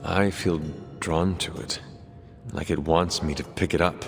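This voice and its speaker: Solemn warrior, game cutscene: lowish voice, measured and determined, faint music behind. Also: male voice, fairly even intonation, gravelly. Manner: formal, measured, few contractions. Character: stoic male warrior hero, solemn and determined. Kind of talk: video game cutscene acting. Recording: long reverb, faint music.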